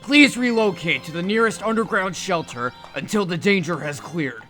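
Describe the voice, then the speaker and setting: A man's voice: high-pitched, animated, moderate pace, pushed and bright, faint music behind. A man playing an official town pony giving a public warning in an audio drama. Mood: urgent, official. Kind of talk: formal announcement.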